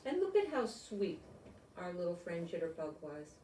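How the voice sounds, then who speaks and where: An older woman reading a picture book aloud with chatty asides, explaining gently and simply as if to children. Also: on the low side, sing-song, moderate pace, slight background noise. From United States. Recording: roomy echo, distant microphone.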